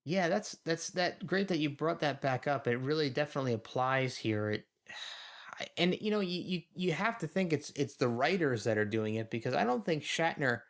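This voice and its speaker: A man's voice: a little high, animated, moderate pace, fairly bright tone. A man co-hosting a podcast, giving casual, wryly amused commentary on an episode as he watches. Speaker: podcast co-host.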